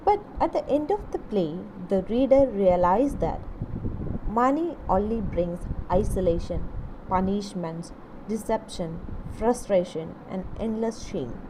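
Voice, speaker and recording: A woman reading a scripted literature lesson in a deliberate, explanatory way, in short phrases. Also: mid-range voice, animated, background noise. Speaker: English literature teacher. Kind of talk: scripted educational narration.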